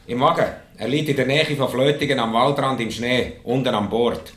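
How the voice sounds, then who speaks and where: An actor playing a rich, stubborn, vengeful villager with a Swiss German accent, giving curt orders in a radio drama. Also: male voice, mid-range voice, moderate pace, natural voice. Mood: commanding. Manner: curt, unmoved, gives flat orders. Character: rich, vengeful returned villager, cold, stubborn, commanding man.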